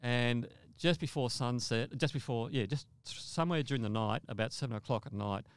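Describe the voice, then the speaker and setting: A man's voice: mid-range voice, moderate pace, smooth. An older man telling a first-person story conversationally as a podcast guest, often repeating and rephrasing his words.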